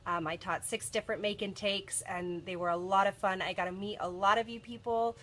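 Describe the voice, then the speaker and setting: A woman's voice: medium pitch, steady tone, brisk, a bit bright. A woman in her thirties hosting a casual live stream from a cluttered office, a bit congested from a cold and chatty with lots of ums. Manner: chatty, many ums and restarts. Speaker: bead shop video host. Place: cluttered office workroom, indoors.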